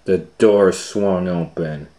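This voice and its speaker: A man reading a children's storybook aloud as a storytime host, with dramatic, drawn-out, expressive phrasing. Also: deep voice. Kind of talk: animated storybook narration.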